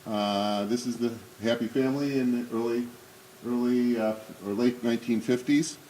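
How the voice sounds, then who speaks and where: A man in his sixties with a booming voice, giving an unscripted talk at a remembrance service with many pauses and fillers, then reading memoir excerpts aloud. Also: fairly even intonation, dark tone, a little hiss or hum, poor audio. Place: synagogue hall. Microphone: speaking without a microphone.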